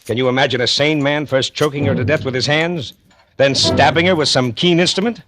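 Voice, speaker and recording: An actor playing an earnest, confident police inspector in a 1940s radio thriller, formal and emphatic. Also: male voice, medium pitch, brisk, natural voice. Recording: noisy, clicking old radio recording.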